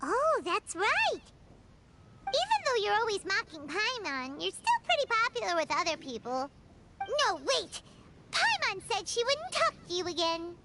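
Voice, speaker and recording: A woman voicing a small, excitable, pouty childlike fairy companion in a video game, with a squeaky voice and exclamatory manner. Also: measured pace, heavy background noise, exaggerated voice. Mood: pouty, teasing. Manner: exclamatory, refers to self in third person. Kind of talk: video game character acting.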